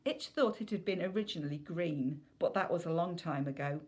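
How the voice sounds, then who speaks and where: Low-pitched woman reading a story. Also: brisk, a little mellow. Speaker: reader of a children's novel. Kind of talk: scripted storybook narration, read aloud.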